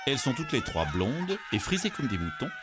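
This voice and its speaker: A man narrating a scripted children's story in an expressive, theatrical way. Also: mid-range voice, a little fast, very noisy. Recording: music playing behind the voice.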